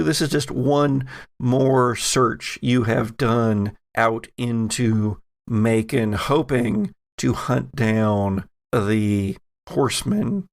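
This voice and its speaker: Guy game master w/ gravelly fry, takes his time narrating spooky stuff, kinda wry. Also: mid-range voice. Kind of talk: narrating a tabletop horror game.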